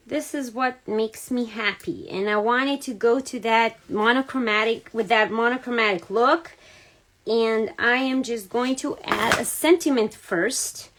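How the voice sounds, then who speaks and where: A Serbian-accented woman hosts a relaxed craft livestream, talking unhurriedly in a slightly high voice. She chats to viewers by name, filling pauses with frequent ums and uhs. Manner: chatty, greets viewers by name, many fillers. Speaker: card-making host. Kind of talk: casual crafting livestream chat. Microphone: phone or camera on a livestream.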